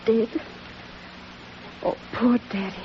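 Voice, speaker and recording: A woman's voice: on the high side, steady tone, slow. An actress playing an earnest, emotional young lady in a 1940s radio drama. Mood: anxious, grieving. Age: young adult. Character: Catherine, earnest, distressed young well-bred lady. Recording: muffled old radio recording with hum.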